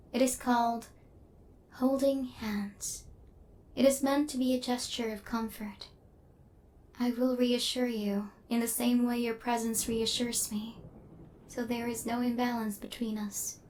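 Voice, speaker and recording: A woman voicing a female killer robot in an ASMR roleplay, speaking in formal, clinical, literal phrases with a stern yet softening temper. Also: a little high, natural voice. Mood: soft, tentative.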